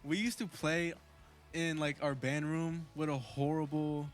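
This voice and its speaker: A man's voice: a little high, level tone, a little slow, faint music behind. A teenage man, the band's drummer, talking casually with fillers and plenty of bro. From Portland, Oregon. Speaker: band drummer. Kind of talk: casual interview chat.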